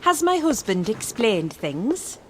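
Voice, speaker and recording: An actress playing a brisk upper-class hostess in a radio play. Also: female voice, on the high side, big pitch swings, a bit bright, slight background noise, full character voice. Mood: brisk, hospitable.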